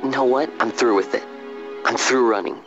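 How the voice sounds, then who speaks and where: Fantasy game youth male actor: grieving, searching, casual speech, dull sound under clear background score. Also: medium pitch, moderate pace, lightly acted. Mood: resolved. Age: young adult. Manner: casual, plainspoken, sincere. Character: restless, grieving young street-bred man seeking his purpose. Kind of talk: dramatic game voice acting. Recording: dull lo-fi game audio over music.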